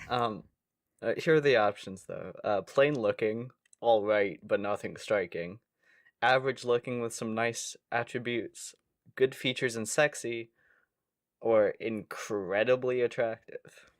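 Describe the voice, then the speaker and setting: A man's voice: medium pitch, unhurried, dry recording, studio quality. A young man co-hosting a podcast in casual comedic chat, jokey and self-deprecating, sometimes reading questions aloud. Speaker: podcast co-host.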